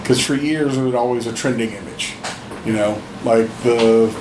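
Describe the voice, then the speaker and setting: A man's voice: mid-range voice, normal speed. Man in his fifties, echoey noisy room. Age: 50s. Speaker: veteran tattoo artist, podcast guest. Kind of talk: casual shop-talk conversation. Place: indoors in a tattoo shop. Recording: roomy, noisy room with hum.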